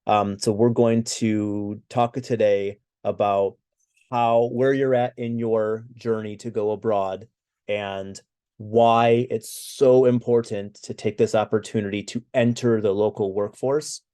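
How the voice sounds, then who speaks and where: A man's voice: lowish voice, steady tone, takes it slowly, dark tone, smooth. A middle-aged American man co-hosting a webinar, presenting over a video call with lots of "uh" fillers and restarts. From United States. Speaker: webinar co-host.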